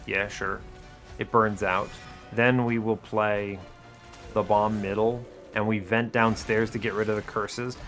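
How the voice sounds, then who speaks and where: A man giving casual, explanatory video game commentary in an informal way, often checking in with the listener. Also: on the low side. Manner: informal, animated bursts, checks in with rhetorical "right?" and "okay?". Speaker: video game streamer, series host.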